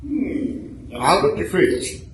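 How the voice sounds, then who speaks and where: A man playing an unhurried, distracted doctor in a radio play, with a halting, offhand manner. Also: high-pitched, sing-song, full character voice. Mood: musing. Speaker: amateur radio drama actor. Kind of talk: live radio play reading. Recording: echoey room, clear background noise.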